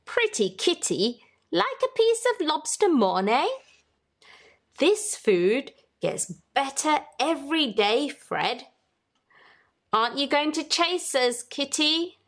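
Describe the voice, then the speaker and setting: A woman's voice: high-pitched, very animated, slow pace, voice pushed up, full character voice. A woman voicing cheeky, greedy seagulls in a children's storybook. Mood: gleeful, taunting.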